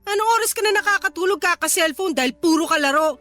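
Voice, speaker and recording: Very high-pitched, exasperated scolding wife. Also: female voice, fast, bright, forceful tone. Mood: accusing, sarcastic. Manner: nagging, sarcastic. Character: exasperated, scolding young working mother and wife. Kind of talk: Tagalog radio drama acting. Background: background music.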